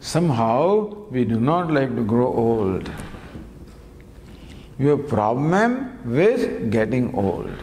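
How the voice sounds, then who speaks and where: A man giving a spiritual discourse on scripture, teaching in a lively, gently humorous way with many rhetorical questions, recorded in a lecture hall. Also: medium pitch. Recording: long hall reverb, faint background noise.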